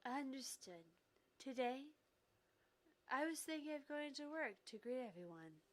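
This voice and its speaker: A woman voicing a polite, reflective amnesia patient in a visual novel, reading in a formal, measured way. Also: high-pitched, lively intonation, pitched above the performer's own voice.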